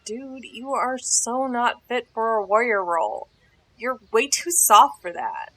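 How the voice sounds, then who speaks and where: A woman's voice: on the high side, unhurried, a bit bright. A woman playing a blunt, bossy teenage girl in an audio drama, speaking in a brusque, slangy, impatient way. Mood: scolding, bossy.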